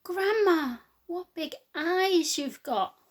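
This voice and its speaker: A woman doing a curious little girl, high and wondering. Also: expressive, slow, heavily acted.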